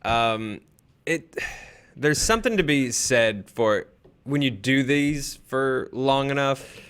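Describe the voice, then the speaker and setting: A man's voice: medium pitch, slow, a bit bright, clean tone. A man in his thirties with an American accent, co-hosting a casual commentary on a close studio microphone. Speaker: commentary host and writer. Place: small podcast studio. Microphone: desk microphone, close.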